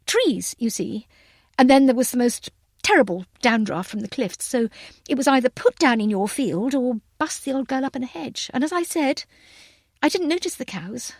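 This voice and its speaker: A woman's voice: sits high, lively intonation, moderate pace, no echo. Woman doing posh-mannered young flyer lady in an audiobook, gushy and polite, dry recording. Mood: flustered, apologetic. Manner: effusive, polite, old-fashioned phrasing. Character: chatty, bright, well-bred young woman aviator, eagerly apologetic.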